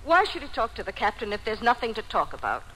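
A woman's voice: a little high, huge pitch swings, quick pace, sharp tone, exaggerated voice. A woman playing a protective, aggrieved mother who insists on her boy's goodness, in old-time radio drama style. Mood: indignant. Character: defensive, protective mother of a troubled boy. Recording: noisy old radio broadcast recording.

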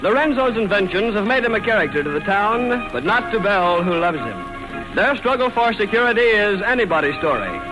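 A male announcer with a high voice introduces a vintage radio serial. Loud music plays right along with his scripted narration. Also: sing-song, fairly quick, rough recording.

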